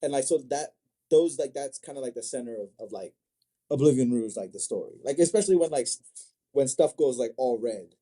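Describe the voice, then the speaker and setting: A man from Africa chatting casually as an interview guest, thinking aloud in a halting, word-repeating way. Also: mid-range voice, animated, dark tone. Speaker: manga creator, podcast guest.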